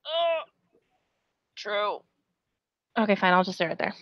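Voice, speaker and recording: A woman's voice: medium pitch, very animated, unhurried, dry recording. A woman playing a tabletop game over a video call, drawing out her words as she thinks aloud. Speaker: player. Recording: dull lo-fi video call audio.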